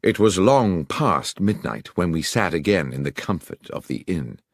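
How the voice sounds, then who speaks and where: Male storyteller for audiobook narration: fairly deep, expressive, measured drama, very dry sound. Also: no room sound.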